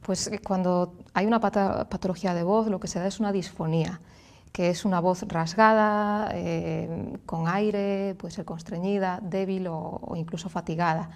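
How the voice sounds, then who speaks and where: Low-voiced Galician woman explaining patiently. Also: fairly even intonation, normal speed. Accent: Galician Spanish. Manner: clear, patient, explains with hand gestures. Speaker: speech therapist and singer as guest. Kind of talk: explanatory interview answers. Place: TV studio.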